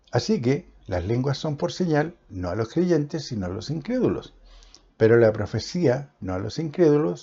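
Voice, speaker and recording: Emphatic preacher man, dark husky voice. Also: fairly low pitch. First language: Spanish. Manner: emphatic, declaiming with pauses. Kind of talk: Bible teaching sermon. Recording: dull lo-fi recording.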